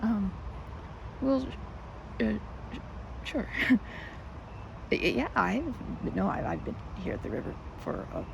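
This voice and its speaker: A woman's voice: medium pitch, huge pitch swings, slow, long pauses, full character voice. A woman playing a timid, flustered young woman in an ASMR roleplay, stammering and hesitant, rambling self-effacingly. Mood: flustered, pleased. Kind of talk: ASMR roleplay, one-sided conversation. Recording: clearly noisy outdoor ambience.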